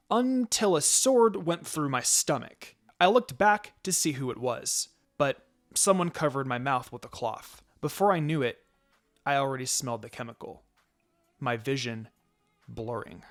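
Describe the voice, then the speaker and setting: A man's voice: a little high, expressive, unhurried, a bit bright, faint music behind. A man reading a first-person fan fiction story as scripted narration.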